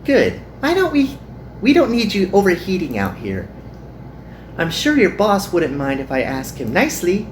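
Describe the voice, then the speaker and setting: A man plays a young anime girl in a visual novel, pitched well above a normal male speaking voice and swinging freely up and down. She is cheerful and caring, coaxing in a friendly way, with music playing underneath. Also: huge pitch swings, moderate pace, slight background noise. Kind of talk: playful visual novel character reading.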